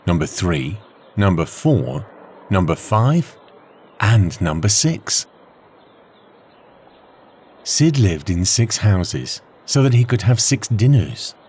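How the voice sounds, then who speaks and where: Slow, deep-voiced male storybook narrator. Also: a little mellow, slightly rough. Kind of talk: scripted children's story narration.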